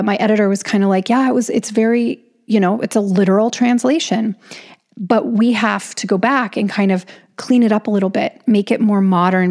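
A woman's voice: mid-range voice, darkish tone. Woman podcaster talking casually. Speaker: podcast host and author. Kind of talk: casual solo podcast monologue.

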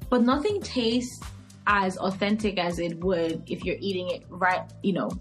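A woman in her thirties with a Cameroonian English accent talks as a podcast guest. She tells stories expressively and fills pauses with small hesitations. Faint music sits under her voice. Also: mid-range voice. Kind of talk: podcast interview, casual chat.

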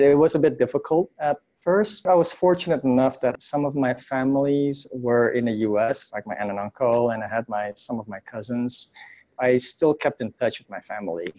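Halting man on a slightly dull call. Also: mid-range voice, steady tone, a little mellow. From Indonesia, later United States. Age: 40s. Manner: halting, self-correcting. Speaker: interview guest, entrepreneur. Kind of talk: casual conversational interview. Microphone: remote call.

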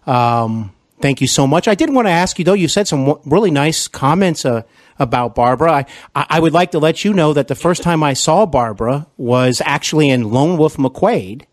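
A man's voice: a little high, expressive, normal speed, no room sound, clean studio sound. A man hosting an interview, asking long rambling questions full of fillers and restarts. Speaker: podcast interviewer and actor.

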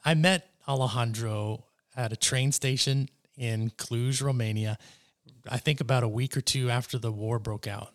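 Male podcast host, mid-pitched, friendly and curious interviewer. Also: steady tone, moderate pace. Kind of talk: conversational interview.

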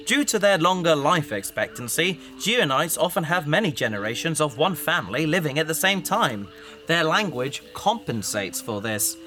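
A bookish, well-meaning spaceship crewman. His voice is fairly high and crisp-edged, with bouncy intonation and an earnest, pedantic, slightly awkward manner. Faint music sits under this sci-fi audio drama. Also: sing-song, moderate pace, sharp tone. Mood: explaining.

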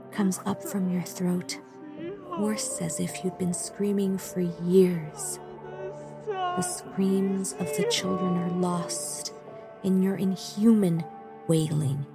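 A woman reading a horror story aloud in a slow, hushed narrating manner. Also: on the low side, vocal fry. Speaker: story reader.

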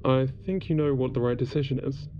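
A woman playing a calm, loyal crew medic giving brief, steady replies over a radio in a science fiction audio drama. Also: very deep voice, fairly quick, slight background noise. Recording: dull band-limited comms sound.

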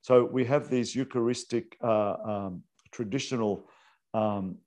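A priest, explaining unscripted with hesitant fillers and restarts. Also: male voice, mid-range voice, measured pace, a little mellow. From Australia.

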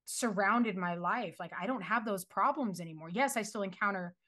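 A woman's voice: mid-range voice, moderate pace, fairly bright tone. A young woman telling her faith testimony in casual chat. She talks earnestly, laughs often and fills her speech with "like" and "you know". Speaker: guest sharing her faith story.

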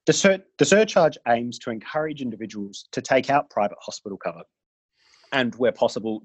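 A man, an official calmly explaining government health insurance rules in an interview, measured and careful. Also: mid-range voice, breathy edge, no room sound, dull audio.

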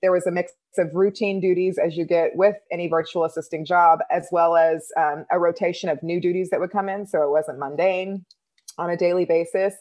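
A woman with a fairly low voice co-hosts a casual podcast. She talks in a measured, steady way and fills pauses with ums. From United States. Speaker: podcast co-host.

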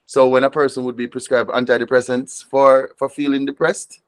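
A man's voice: mid-range voice, level tone, fairly quick, clear, resonant tone, no echo. A Jamaican man, a reggae artist, talking reflectively in an interview, often restarting and repeating words as he gathers his thoughts. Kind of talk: conversational interview.

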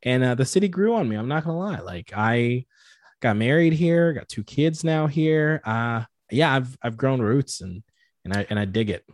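A man's voice: medium pitch, expressive, normal speed, no room sound, studio quality. A young man from Tanzania, a podcast guest, telling stories in a laid-back, slangy way. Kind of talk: casual chat.

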